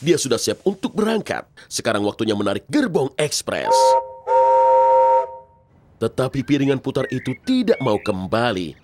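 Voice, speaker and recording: A man's voice: medium pitch, sing-song, moderate pace, background noise. A man narrating a scripted children's story. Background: music.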